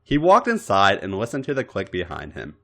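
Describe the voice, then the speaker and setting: A man narrating a story aloud in a dramatic, expressive way. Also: lowish voice, fairly quick. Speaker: podcast host reading fan fiction. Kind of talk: story narration with acted dialogue.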